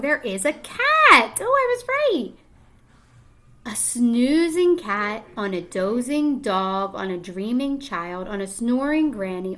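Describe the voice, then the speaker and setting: A woman teacher reading a picture book aloud to young children, deliberate and expressive, prompting them with questions. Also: mid-range voice.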